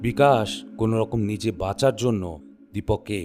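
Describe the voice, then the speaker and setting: A man narrating a scripted horror story in measured, suspenseful short phrases. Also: on the low side, music in the background.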